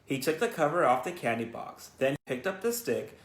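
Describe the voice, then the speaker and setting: A man reads a children's storybook aloud in a roomy, echoing space. His pitch moves in a lively way, and his delivery halts now and then as he repeats words. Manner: halting, stumbles and repeats words. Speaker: school educator reading to students. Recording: roomy, echoey sound.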